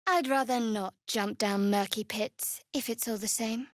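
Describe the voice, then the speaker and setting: A young female cleric from a fantasy video game , acted by a woman, guarded and sardonic. Her voice sits fairly high and is recorded close and dry. She speaks in a measured, wry way, as a devotee of a dark goddess. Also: steady tone, dry recording, natural voice.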